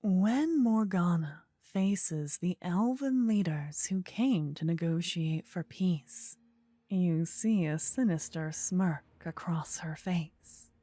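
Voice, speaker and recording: A woman narrating a scripted fantasy ASMR story in a soft, breathy, partly whispered voice. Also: on the low side, expressive, slow, dry recording.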